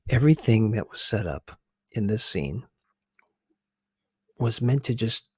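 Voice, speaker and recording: A man hosting an ASMR video, giving casual, gently hesitant commentary on film scenes. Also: fairly low pitch, very animated, a little slow, breathy edge, no echo, studio quality.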